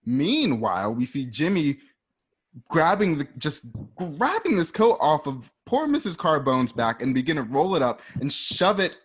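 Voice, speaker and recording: A man narrating a scripted radio play, setting each scene in a measured present-tense delivery. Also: fairly high pitch, big pitch swings, husky.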